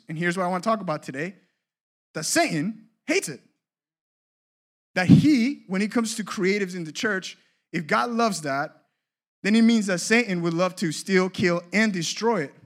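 Casual joking man from Colombia. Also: high voice. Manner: casual and joking, many fillers and restarts. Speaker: creative ministry leader speaking at a conference. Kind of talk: conference workshop talk.

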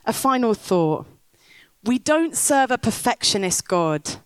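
A woman's voice: fairly high pitch, big pitch swings, slow pace, clean tone, no room sound. A woman preaching on stage, telling a story and rousing the crowd. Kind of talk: sermon with storytelling. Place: stage in a big top tent.

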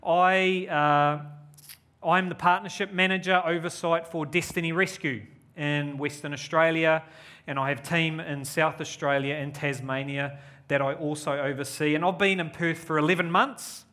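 A keen, upbeat man with a New Zealand accent preaches as a guest speaker at a church service. His voice sits a little higher than most men's, and he talks in an effusive, enthusiastic way. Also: fairly even intonation, moderate pace, pushed and bright. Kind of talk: live church sermon and prayer.

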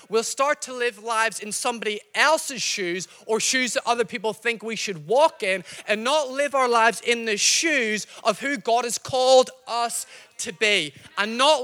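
A man's voice: extremely high pitch, big-room sound. A man from Northern Ireland with a slightly Scottish-tinged Northern Irish accent, preaching a sermon and telling stories straight to his congregation. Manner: lively storytelling, addresses the congregation directly. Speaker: pastor.

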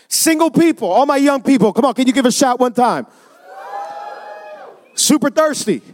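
A male pastor with a strikingly high voice delivers a sermon at a church service. He talks in an easy, conversational way and keeps prompting the congregation to answer him. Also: lively intonation, moderate pace. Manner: conversational, asks the congregation for responses.

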